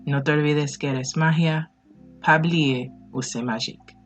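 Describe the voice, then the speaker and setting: A woman's voice: low voice, fairly even intonation, moderate pace, muffled recording. A woman hosting a podcast, reading a scripted sign-off. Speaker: podcast host. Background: music.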